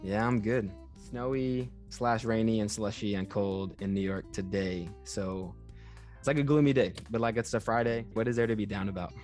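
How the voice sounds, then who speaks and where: A man from Texas, hosting a podcast in a relaxed, friendly way. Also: on the low side, moderate pace, soft background music. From Texas, USA. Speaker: podcast host. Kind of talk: casual interview.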